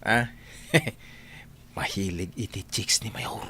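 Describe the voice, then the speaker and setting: A man's voice: on the low side, extremely slow, bright, forceful tone, slight background noise, exaggerated voice. An actor playing a boastful, flirtatious driver courting a woman in a radio drama, with an Ilocano accent. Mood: flirting. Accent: Ilocano, northern Philippines.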